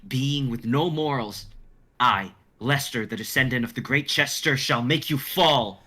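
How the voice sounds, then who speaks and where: A man's voice: medium pitch, measured pace, pushed and bright. A man playing a boastful, hot-headed dragon hunter in a dramatic script reading, with a pompous, declamatory manner. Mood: defiant.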